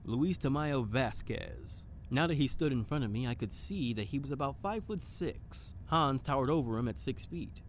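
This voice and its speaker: A man's voice: medium pitch, animated, moderate pace, hardly any pauses, slight background noise, lightly acted. Man acting a theatrical, swooping male detective. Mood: narrating. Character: theatrical male detective narrating his case, flirtatious. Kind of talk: audio drama acting and narration.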